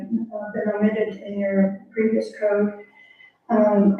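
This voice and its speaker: A woman's voice: mid-range voice, mellow, muffled recording. Woman presenter, hesitant, many fillers, echoey council chamber, distant mic. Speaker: planning consultant presenting to a city commission. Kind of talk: public meeting presentation.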